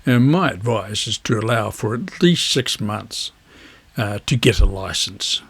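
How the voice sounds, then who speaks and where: A man with a husky voice, presenting an instructional vlog in measured phrases. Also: on the low side, animated, a bit bright. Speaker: amateur radio video host.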